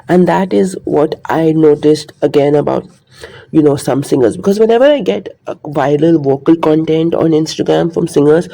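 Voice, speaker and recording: Guy vlogging, kinda rambly and earnest, gravelly voice, pretty dark tone. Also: a little high, poor audio. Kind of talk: casual monologue to camera.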